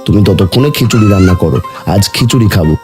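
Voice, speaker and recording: A man's voice: on the low side, lively intonation, fast, music in the background, close to an everyday voice. A man voicing a kindly, easygoing village father in a cartoon story, homely and reassuring.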